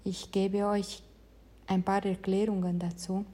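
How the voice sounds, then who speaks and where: A woman who teaches meditation explains her classes in careful, measured speech, as a non-native speaker of the language. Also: medium pitch, little pitch movement, warm tone. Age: adult, past her teens. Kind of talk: calm spoken introduction to meditation.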